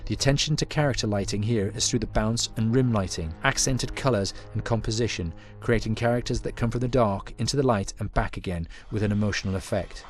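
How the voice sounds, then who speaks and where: A man giving scripted making-of narration in a measured, explanatory manner. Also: fairly low pitch, music in the background.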